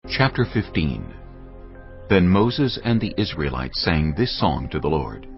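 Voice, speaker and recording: A man narrating scripture in a measured, steady storytelling voice. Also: low voice, creaky. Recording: muffled, with music underneath.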